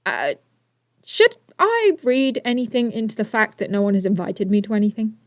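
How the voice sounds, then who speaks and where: A woman's voice: mid-range voice, very animated, normal speed, dry recording, studio quality, lightly acted. A woman playing a confident, flippant teenage inventor superhero in an audio drama, breezy and wry. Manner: breezy, self-assured, wry.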